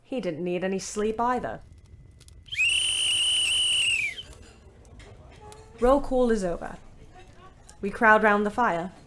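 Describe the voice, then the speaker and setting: A woman's voice: medium pitch, background noise, natural voice. An actress playing a weary, bitter young ambulance driver, narrating her wartime diary expressively in an audio play. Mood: dry, brisk. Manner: measured, literate, expressive. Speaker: narrator and lead character.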